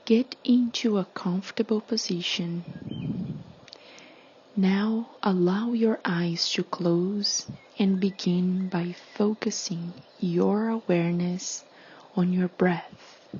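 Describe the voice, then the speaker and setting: A woman leading a guided meditation in a soothing, hushed, breathy delivery with long pauses. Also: on the low side, steady tone, muffled recording, a little hiss or hum.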